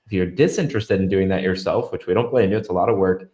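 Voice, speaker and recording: A man's voice: low voice, fast talker, darkish tone. A young man with a stuffy, nasal voice, co-hosting a casual live stream and reading out viewer comments in an upbeat way. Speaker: podcast co-host.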